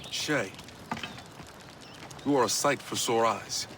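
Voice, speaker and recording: A weary soldier of a historical game, acted by a man. His voice is of medium pitch. Clear ambient noise sits behind every line. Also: slow, close to an everyday voice. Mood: worried. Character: weary male soldier ally bearing bad news.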